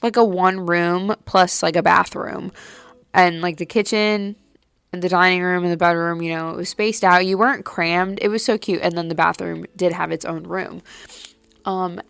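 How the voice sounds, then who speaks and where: A woman's voice: lowish voice, steady tone, moderate pace, creaky, fairly bright tone, smooth, no room sound. A young woman hosting a podcast, chatting casually and rambling with lots of "like", her nose a little stuffy. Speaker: podcast host. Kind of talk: casual solo chat. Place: at home late at night.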